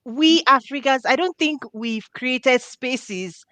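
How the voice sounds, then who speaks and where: A woman's voice: high-pitched, takes it slowly, a bit bright, no echo. A middle-aged woman from Nigeria hosting a video call interview on a headset, bubbly and laughing often. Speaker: host. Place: home office with diplomas.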